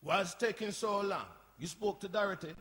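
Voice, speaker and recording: An actor plays an earnest, harried caretaker in a radio drama. His high, bright voice carries through a very echoey hall. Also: moderate pace. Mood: impatient. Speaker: actor in a community radio play. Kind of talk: radio drama performed before an audience. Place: hall, recorded live. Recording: echoey hall with background noise.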